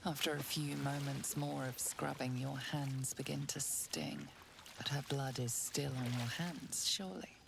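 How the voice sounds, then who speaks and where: Super low crackly lady narrator, slow and ominous, dark fantasy game storytelling, grave. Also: steady tone, gravelly, heavily acted. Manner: measured, ominous, intimate. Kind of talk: scripted second-person dark fantasy narration. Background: music.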